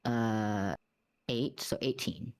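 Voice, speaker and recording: A man's voice: on the low side, very slow, clear, resonant tone. A man chatting casually as a player in a tabletop role-playing game. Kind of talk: casual chat during a game.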